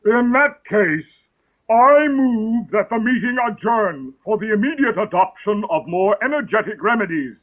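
A man voicing a solemn, long-winded male dodo with a slow, grand delivery. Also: high voice, expressive, voice pushed up. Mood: grandiose. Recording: tinny phone-like audio.

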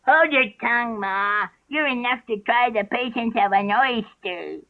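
A woman's voice: on the high side, measured pace. A woman voicing an impudent young crab in a storybook, with a curt, snappish manner. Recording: tinny radio-like sound.

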